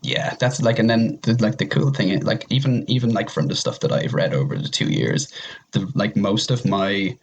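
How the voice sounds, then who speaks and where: A man with a deep, husky voice talks casually. His delivery rambles, circling back and repeating words as he builds a point in relaxed conversation. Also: normal speed. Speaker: podcast guest. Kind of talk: casual chat.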